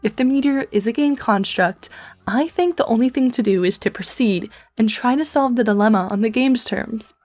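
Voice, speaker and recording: Woman acting a deadpan, articulate teenage girl. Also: on the high side, normal speed, natural voice. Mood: wry. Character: dry, verbose, composed teenage girl in a webcomic. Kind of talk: fan comic dub acting.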